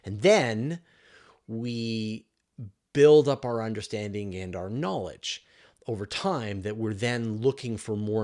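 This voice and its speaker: A man's voice: on the low side, a little mellow. A man hosting a live question-and-answer stream, talking casually and thinking aloud as he enthusiastically explains things. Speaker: host of a space Q&A livestream.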